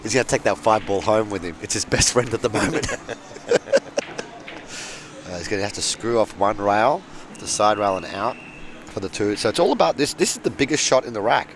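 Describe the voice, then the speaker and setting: A middle-aged Australian man giving casual, excitable and opinionated live commentary on a pool match. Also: fairly low pitch, a little fast, pauses often. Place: pool venue, close to the players. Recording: very noisy live venue recording.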